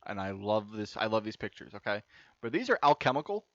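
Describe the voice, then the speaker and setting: A man's voice: on the low side, very animated, fairly quick, creaky, no room sound. Male gaming host, young adult, chatty and loose, close dry sound with a slightly muffled, lo-fi edge. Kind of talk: casual let's-play commentary. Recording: dry, slightly dull lo-fi mic.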